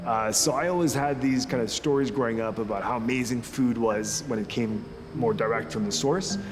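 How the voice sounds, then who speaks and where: A man from Israel, founder of an urban farming company, explaining his mission in an earnest, measured way. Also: medium pitch, background noise. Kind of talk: interview-style talk to camera. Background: background music.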